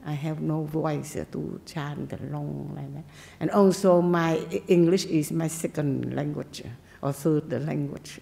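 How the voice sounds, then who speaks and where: Low dark-voiced woman, buddhist teacher, simple halting english, lots of hall echo. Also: steady tone, gravelly. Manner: simple, halting non-native English with pauses and check-ins. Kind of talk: dharma talk on meditation.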